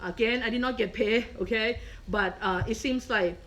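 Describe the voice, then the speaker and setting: A woman's voice: on the high side, normal speed, fairly bright tone. A middle-aged woman from Thailand with a Thai accent, a culinary professor leading a live cooking demonstration and checking in with listeners often. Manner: patient, checks in with frequent "okay?". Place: kitchen.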